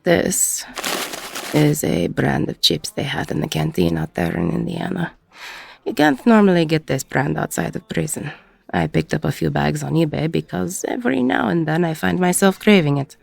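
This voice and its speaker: A woman playing a weary, regretful ex-convict bar owner in an intimate audio roleplay, with a husky, gravelly voice and a reflective delivery full of pauses. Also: deep voice, expressive, darkish tone, no room sound, full character voice. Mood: wry, amused. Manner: slow, reflective, pausing mid-sentence.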